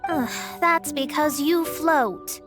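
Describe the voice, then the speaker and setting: A woman's voice: high voice, slow pace, over music. A woman playing a proud, theatrical young female astrologer in a video game, speaking in a pompous, formal way. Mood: irritated.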